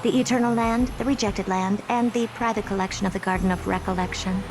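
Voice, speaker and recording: An actress voicing a haughty, playful genius researcher in a video game, with a condescending, commanding and theatrical manner. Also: female voice, medium pitch, fairly even intonation, brisk, music in the background, close to an everyday voice. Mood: smug, explanatory.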